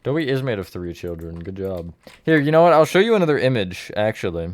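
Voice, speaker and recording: A man's voice: on the low side, very animated, fairly quick, no room sound. A man streamer chatting casually with his viewers, counting blocks and answering chat while he builds.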